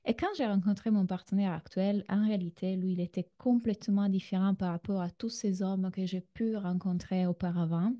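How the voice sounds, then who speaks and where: A woman with an Italian accent and a very mellow, dark-toned voice at a medium pitch. She talks like a coach leading an online class, conversationally, turning to her listeners with direct questions. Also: steady tone, a little fast, few pauses. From Italy. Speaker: relationship coach, masterclass host. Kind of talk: conversational online masterclass talk.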